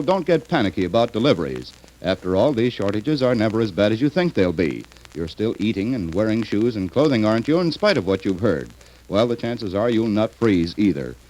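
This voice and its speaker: A North American man works as a radio announcer in the 1940s manner. His medium-pitched voice moves with lively, emphatic swings as he delivers folksy, earnest sponsor copy. A faint hiss sits on the vintage recording. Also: low-quality recording.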